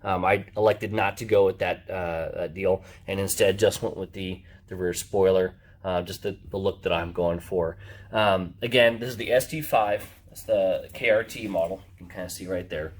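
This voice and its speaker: Casting: man, lowish voice, informal video presenter, slangy and unscripted. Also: fairly even intonation, normal speed. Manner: informal, slangy, addressing viewers as guys. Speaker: racing driver presenting a product unboxing. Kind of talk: casual unscripted product walkthrough.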